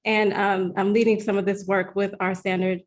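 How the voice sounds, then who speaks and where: A woman's voice: medium pitch, level tone, moderate pace. American woman moderating a webinar. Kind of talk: conversational panel moderation.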